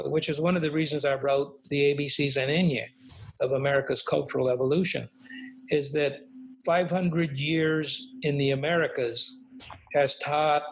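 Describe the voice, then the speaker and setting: An older man speaks as an interview guest, his voice a little higher than usual for a man. He talks reflectively and unhurriedly, with fillers and frequent "okay?" check-ins. A faint noise sits under the recording. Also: rough recording. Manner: reflective, many fillers and tag questions. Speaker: guest, author. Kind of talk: conversational interview.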